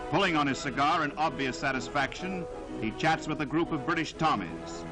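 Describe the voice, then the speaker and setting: A man narrating a 1940s newsreel in a dramatic, declamatory style with emphatic, measured phrasing, on a vintage soundtrack. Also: a little high, animated, over music.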